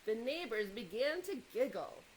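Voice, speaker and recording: A woman reading a children's picture book aloud in an expressive way, pausing to engage young listeners with questions and asides. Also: on the high side, slight background noise, low-quality recording.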